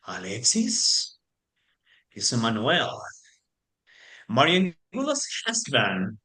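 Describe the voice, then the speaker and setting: Male storyteller: theatrical, animated intonation, forceful bright voice, halting non-native English delivery. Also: mid-range voice. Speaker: narrator. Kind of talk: theatrical scripted narration.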